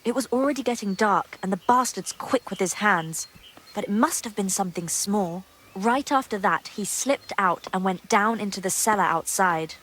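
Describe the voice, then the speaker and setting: A woman's voice: mid-range voice, moderate pace, fairly bright tone, close to an everyday voice. A woman playing a gossipy, guarded young monastery maid in a medieval video game drama, secretive and earthy in her talk. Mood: confiding. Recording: clear background ambient noise.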